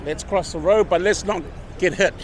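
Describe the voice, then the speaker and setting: A man's voice: high voice, normal speed. A middle-aged man casually vlogging while walking a busy evening street, talking to a handheld camera. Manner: laid-back, chatty, upbeat street talk. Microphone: handheld camera at arm's length. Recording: street crowd and traffic noise.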